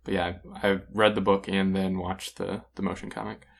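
A man's voice: deep voice, flat delivery, moderate pace, gravelly. A man co-hosting a podcast, giving his thoughts in casual, conversational review talk. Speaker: podcast co-host. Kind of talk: casual comic review discussion.

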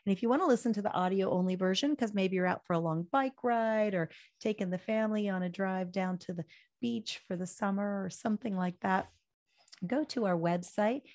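An older woman hosting a political talk radio show, hoarse and speaking with fiery, preachy conviction before a chatty sign-off. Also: mid-range voice, brisk, a little mellow.

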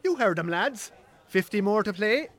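An actor with an Irish accent playing a smug poker ringleader in a radio drama, mock-friendly and needling. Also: male voice, high-pitched, lively intonation, normal speed, a bit bright. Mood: goading. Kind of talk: naturalistic radio drama acting.

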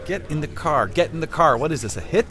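An actor in a satirical audio drama playing a wry, awkward, wisecracking man who runs an office, speaking in a halting, flippant way. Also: fairly high pitch, animated, heavy background noise, close to an everyday voice. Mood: wry, sarcastic.

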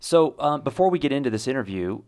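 A man's voice: mid-range voice, big pitch swings, fast, a little mellow, clear, resonant tone. A man hosting an interview podcast, reading a scripted intro and then chatting with his guest. He is chatty and enthusiastic, often stumbling and restarting his sentences. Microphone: desk mic.

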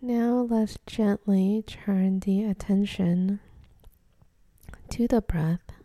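Very mellow, slow woman guiding meditation. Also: medium pitch, steady tone. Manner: gentle, unhurried instruction with pauses. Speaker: meditation guide. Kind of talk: guided meditation.

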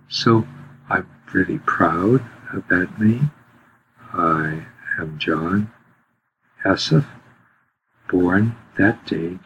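Elderly priest in his 80s, very low voice, slow unhurried telling, pauses and hushed drops, faint hiss behind. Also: lo-fi sound. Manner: unhurried, pausing often, swells and drops to near whisper. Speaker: interview guest, priest. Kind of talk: slow reflective storytelling.

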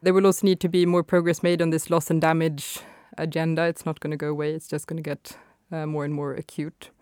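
Woman guest breaking down climate policy, smooth clear voice, dry close sound. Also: low voice, little pitch movement, a little mellow, no room sound. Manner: explanatory, measured, some hesitations. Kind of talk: expert interview answers.

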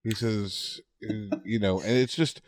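A man in his forties from the United States, chatting casually on a podcast and telling anecdotes in a halting, word-repeating way. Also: on the low side, steady tone, dry recording. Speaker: podcast co-host.

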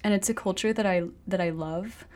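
A woman's voice: medium pitch, fairly quick, darkish tone. Young French woman with an American accent, sincere, chatty. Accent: American-accented English. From France. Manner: earnest, repetitive restarts. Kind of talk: casual conversation.

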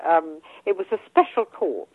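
An older English woman speaks over a tinny phone line, her voice husky and going hoarse. She talks in a lively, rising and falling way, pausing on ums and restarting her sentences. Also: lowish voice, no echo. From England. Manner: hesitant, many ums and restarts. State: losing her voice. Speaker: guest author. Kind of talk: casual interview talk.

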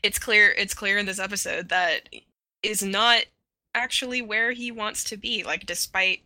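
A woman in a casual podcast discussion, talking in a halting way and often repeating her words as she works out a point. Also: mid-range voice, steady tone, bright, forceful tone, dry recording. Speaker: podcast panelist. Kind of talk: casual animated-show discussion.

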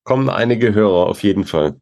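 A man's voice: lowish voice, lively intonation, brisk, vocal fry, darkish tone. A man hosting a podcast, chatting casually in informal, slangy language. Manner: informal, slangy, often says cool. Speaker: podcast host. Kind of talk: casual chat.

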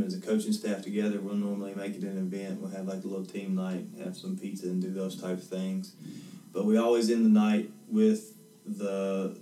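A man in his twenties, a head baseball coach, answering interview questions in a steady, earnest way, repeating words as he thinks. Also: deep voice, echoey room, recorded from a distance, low-quality recording. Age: 20s.

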